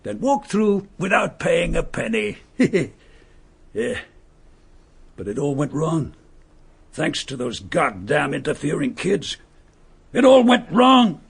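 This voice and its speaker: A man's voice: a little high, big pitch swings, takes it slowly, vocal fry, long pauses, breathy edge, exaggerated voice. An actor playing a bitter, mocking male murderer who posed as a lord, in a radio drama, speaking. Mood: sneering. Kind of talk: vintage radio drama acting.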